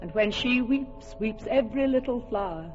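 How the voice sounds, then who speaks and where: A woman's voice: on the high side, lively intonation, normal speed, darkish tone, highs cut off, soft background music, natural voice. An actress playing a proud, doting fairy queen who speaks lyrical verse in a classic radio drama. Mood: tender. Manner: lyrical, imperious verse delivery.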